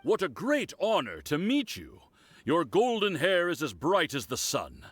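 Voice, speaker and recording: A man playing an eager, flattering, officious security officer in a video game. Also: fairly high pitch, a little slow, husky, no echo, faint music behind, full character voice. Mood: fawning. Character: fawning, eager male desert security officer.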